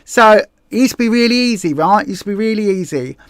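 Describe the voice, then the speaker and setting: A woman's voice: medium pitch, expressive, fast, dry recording, clean studio sound. A woman with a Southeast English accent hosting a casual livestream, chatting in a rambling way and correcting herself as she reads viewer comments. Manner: rambling, self-correcting, many fillers. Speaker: livestream host.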